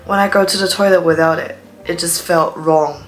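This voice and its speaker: A woman's voice: fairly low pitch, steady tone, normal speed, soft background music. A Chinese woman in her twenties, a student, telling stories in a chatty, dramatic way, speaking English with an accent between British and American. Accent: English learned from TV, between British and American. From China, Shanghai. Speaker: student vlogger. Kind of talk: casual monologue vlog.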